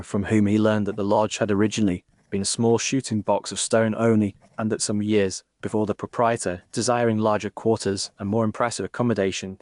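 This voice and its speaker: Male audiobook narrator: lowish pitch, measured, formal storytelling, dry recording. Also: a little mellow, no echo. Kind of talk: scripted literary narration in first person.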